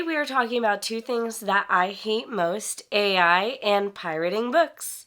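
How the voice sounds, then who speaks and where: Woman vlog host, stuffy from a cold, bright tone, indignant direct address. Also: mid-range voice. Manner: animated and indignant, talks straight to viewers. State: congested, recovering from a cold. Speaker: author and YouTube host. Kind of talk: direct-to-camera vlog commentary.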